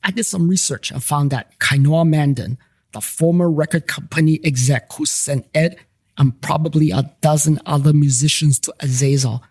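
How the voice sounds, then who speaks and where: A man's voice: a little high, normal speed, darkish tone, breathy edge, no echo, clean studio sound. A man narrating a scripted first-person story as the narrator of a fiction podcast.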